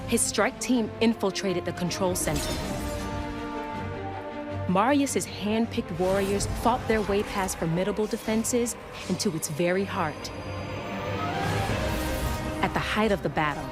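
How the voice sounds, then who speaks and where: A woman's voice: medium pitch, unhurried, long pauses, natural voice. A woman playing a composed, knowledgeable adventurer who tells lore in a video game cutscene. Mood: solemn, storytelling. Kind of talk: video game cutscene acting, dramatic narration. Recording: music behind the voice.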